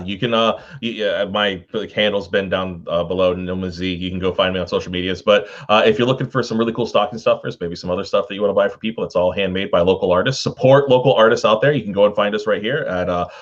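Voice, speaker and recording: A man chatting casually as a panelist, rambling along in loose, filler-laden phrases, on dull online-call audio. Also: on the low side. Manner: rambling, full of 'like' and 'you know'.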